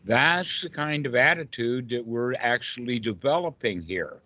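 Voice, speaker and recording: A man's voice: mid-range voice, animated, measured pace, sharp tone. A man with a Southern US accent teaching informally in a group call, emphatic and fond of repeated rhetorical questions. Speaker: meditation teacher. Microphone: online group call. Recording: dull, lo-fi internet call audio.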